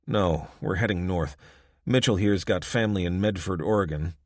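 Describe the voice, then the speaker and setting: A man's voice: sits low, moderate pace, dry recording, clean studio sound. A man voicing a weary middle-aged police detective who speaks tersely and firmly. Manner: terse, firm.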